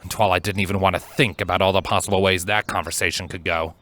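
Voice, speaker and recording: A man narrating scripted fiction in a measured, expressive and articulate way. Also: deep voice. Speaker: fan fiction narrator and voice actor.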